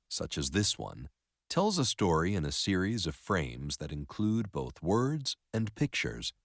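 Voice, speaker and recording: A man narrating a story in an expressive educational read-aloud style. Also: deep voice, no room sound, studio quality.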